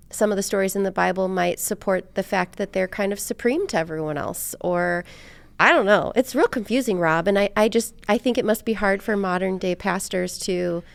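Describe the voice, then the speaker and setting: A woman with a clear but creaky voice hosts a casual conversation. She talks informally and anecdotally, in a chatty style, and the sound is close and dry. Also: medium pitch, dry recording. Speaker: podcast host.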